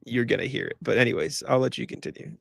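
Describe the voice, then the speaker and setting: Male guest, very gravelly voice, dry close sound, wry and self-deprecating. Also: mid-range voice, fairly even intonation, fast, dry recording. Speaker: guest contributor. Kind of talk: casual chat.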